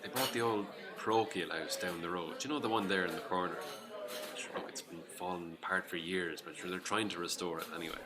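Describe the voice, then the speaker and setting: A man with an Irish accent acting a sociable, take-charge uncle with a booming voice in an audio drama. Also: fairly even intonation, fairly quick, lightly acted. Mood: chatty. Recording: busy pub crowd behind.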